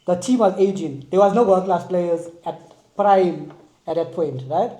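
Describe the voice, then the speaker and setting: A man co-hosting a casual football podcast, arguing his points with lively energy and often restarting sentences. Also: sits high, unhurried. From Manchester United supporter. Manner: animated, talks over others, trails off and restarts. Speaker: podcast co-host. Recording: echoey room.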